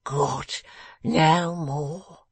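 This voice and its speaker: A woman's voice: sits low, steady tone, drawn-out words, creaky, breathy edge, no echo, exaggerated voice. Woman doing an abject, whimpering drunken man. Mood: rueful. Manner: pleading, rueful, faltering. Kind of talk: dramatised storybook reading.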